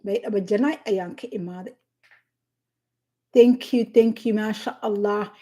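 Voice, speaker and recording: A middle-aged woman from southern Somalia with a Somali accent, hosting a casual livestream, unhurried and halting with many fillers as she thanks viewers by name. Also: medium pitch, dark tone. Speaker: livestream host.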